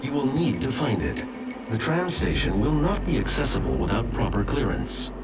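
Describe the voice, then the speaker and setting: An actor playing a helpful, composed artificial intelligence guide in a video game, speaking precisely and formally to give information. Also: male voice, mid-range voice, very animated, normal speed, background noise. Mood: helpful, instructive. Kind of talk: video game cutscene acting. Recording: distant voice under game music.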